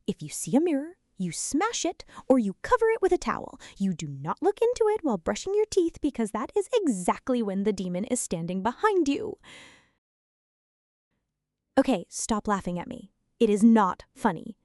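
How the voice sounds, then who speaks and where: High-voiced, dramatic, jumpy young woman, acted out. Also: sing-song, normal speed, a little mellow, no room sound, studio quality. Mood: panicked. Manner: mock-indignant, dramatic, teasing. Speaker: ASMR roleplay performer. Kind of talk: ASMR roleplay, acted monologue to the listener.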